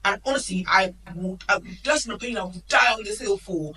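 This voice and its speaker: A woman's voice: medium pitch, bright, forceful tone, recorded from a distance. A woman of Nigerian heritage, co-hosting a podcast in emphatic, opinionated casual chat. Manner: opinionated, emphatic, quick asides. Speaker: podcast co-host.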